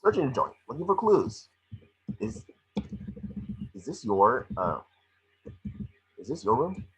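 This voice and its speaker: A man playing a small, cocky, wisecracking mouse detective in a table read, with a rough-edged husky voice. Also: mid-range voice, big pitch swings, a bit bright, exaggerated voice, poor audio. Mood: wry, cocky. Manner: fast-talking wisecracking patter. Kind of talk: animated script table read.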